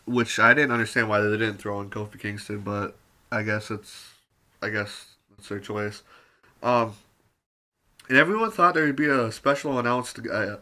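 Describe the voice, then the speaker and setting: A man giving a rambling, casual spoken review full of fillers and mild swearing, his voice turning raspy with occasional coughs. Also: mid-range voice, bright, forceful tone. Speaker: wrestling show reviewer.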